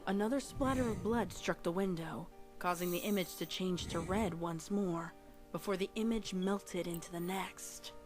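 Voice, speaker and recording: A woman's voice: on the low side, moderate pace. A woman giving a scripted, dramatic narration of a story. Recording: loud music behind voice. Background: music.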